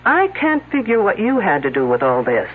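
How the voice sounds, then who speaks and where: A confident detective in a vintage radio mystery who needles everyone with sardonic quips. Her delivery is lively and bounces up and down in pitch. It sounds thin and tinny, like an old radio broadcast. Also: moderate pace, slight background noise. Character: wisecracking, confident female detective.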